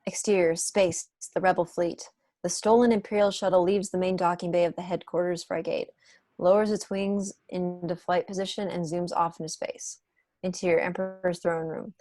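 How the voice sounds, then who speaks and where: Low-voiced woman reading stage directions. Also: little pitch movement, moderate pace, warm tone, no room sound. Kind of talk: scripted narration. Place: indoors on a video call.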